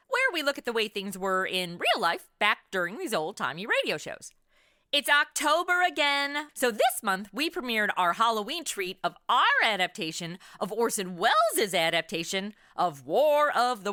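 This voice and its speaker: A woman hosting a podcast in a lively, scripted but conversational style. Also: on the high side, moderate pace, dry recording, studio quality. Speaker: podcast host.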